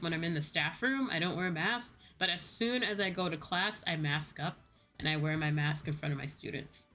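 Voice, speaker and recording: An American woman livestreamer rambling casually to her viewers in an animated storytelling way, acting out bits of dialogue. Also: fairly low pitch. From United States. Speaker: VTuber livestreamer. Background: background music.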